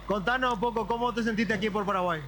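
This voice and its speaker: A man doing street interviews outdoors after a match, asking brisk, friendly questions. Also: sits high, bright, forceful tone, a little hiss or hum. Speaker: street reporter. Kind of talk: vox-pop interviewing.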